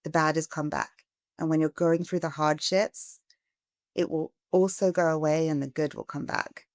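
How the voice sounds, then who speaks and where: A middle-aged woman from England, a charity founder giving a podcast interview, earnest and growing animated as she asks for help. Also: low voice, moderate pace, no echo, studio quality. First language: English.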